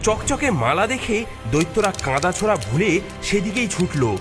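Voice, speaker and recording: Male cartoon narrator, dramatic scripted storytelling, high and very lively, loud music bed. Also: normal speed.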